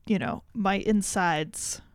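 A woman co-hosting a chat about investing, speaking slowly and hesitantly with a skeptical edge. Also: mid-range voice, smooth, no room sound. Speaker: investing podcast co-host. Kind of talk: casual chat.

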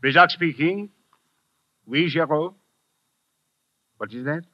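A man's voice: fairly high pitch, takes it slowly, background noise. A man playing a shrewd French police inspector with a French accent, asking formal, probing questions in a vintage radio drama. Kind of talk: 1940s radio acting. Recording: dull vintage radio recording.

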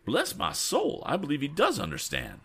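A man voicing a fussy, excitable, blustering gentleman from a storybook, exclamatory and flustered. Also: mid-range voice, fairly quick, voice pushed up, heavily acted. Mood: amazed.

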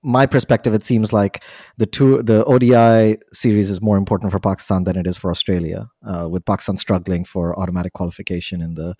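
A man's voice: sits low, little pitch movement, fast, dark tone, dry recording, studio quality. A man hosting a podcast, asking questions in a playful, teasing way. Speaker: podcast host. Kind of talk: casual podcast interview.

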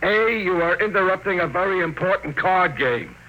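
Hard-boiled henchman, sardonic, slangy, very bright forceful voice, higher-set male, old radio drama hiss. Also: animated, moderate pace, poor audio. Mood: mocking, offhand. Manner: slangy, sardonic tough-guy delivery. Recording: hissy vintage radio recording.

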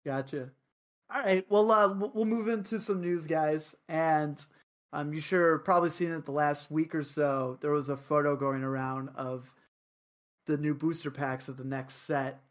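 A man hosts a relaxed chat show in a voice that sits on the high side. He wanders through his points in an easygoing, rambling way. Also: measured pace. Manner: laid-back, rambling, self-described high voice. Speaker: podcast host. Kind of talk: casual podcast chat.

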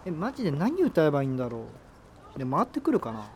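A contrary, gloomy high school boy in a radio drama. He grumbles in a fairly high, animated, quick voice, with faint ambient noise behind him. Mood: reluctant. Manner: grumbling, casual banter.

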